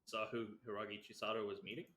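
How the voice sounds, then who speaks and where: A man's voice: fairly low pitch, level tone, quick pace, pushed and bright. A man casually reacting as he streams a game. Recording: echoey room microphone.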